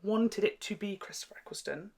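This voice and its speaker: A man's voice: high voice, brisk, gravelly, darkish tone. A young British man chatting casually and with enthusiasm as host of a reaction video. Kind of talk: casual chat.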